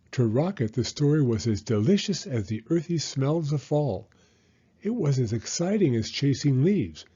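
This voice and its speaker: A man reading a children's picture book aloud as an expressive narrator. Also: medium pitch, breathy edge, muffled recording. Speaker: storybook reader.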